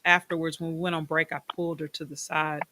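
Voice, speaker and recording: A woman's voice: deep voice, level tone, fast talker, bright, forceful tone, slight background noise. A middle-aged woman hosting an interview in a chatty, enthusiastic way, stretching words for emphasis. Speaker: host. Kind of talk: casual interview chat.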